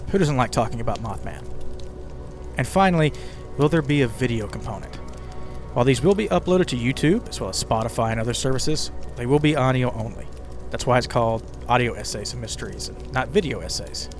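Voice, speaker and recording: A man's voice: mid-range voice, lively intonation, a little fast. A man hosting a podcast with conversational scripted narration, slipping in casual asides to the listener. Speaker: podcast host and writer. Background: ambient music bed with crackling fire sounds.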